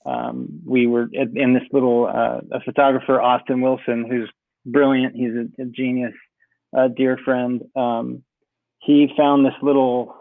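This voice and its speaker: Man, gravelly voice, tinny phone-like sound, dry, relaxed rambling talk. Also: medium pitch, a little slow, no room sound. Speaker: music producer. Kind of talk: casual interview chat. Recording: tinny phone-like call audio.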